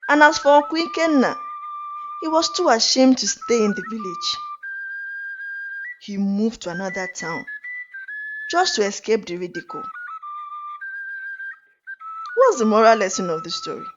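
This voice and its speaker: Woman storyteller, lively swooping intonation, deliberate dramatic folktale narration, dull recording, flute music behind. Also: fairly high pitch. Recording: dull lo-fi audio under music. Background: clear flute music.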